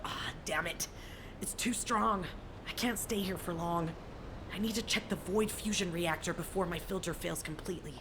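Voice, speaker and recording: A grim, stubborn soldier voiced by a woman in a video game, pitched on the low side. She speaks tersely and broodingly, as if reasoning through a grave problem by herself. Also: moderate pace, very noisy, lightly acted. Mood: tense, pondering. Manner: terse, brooding, thinking aloud. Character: driven female soldier investigating a toxin, stubborn and grim. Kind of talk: dramatic video game voice acting.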